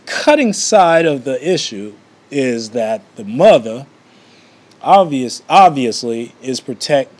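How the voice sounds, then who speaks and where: A man's voice: medium pitch, darkish tone. A man reading a book aloud in an amateur way, often stumbling and going back over phrases.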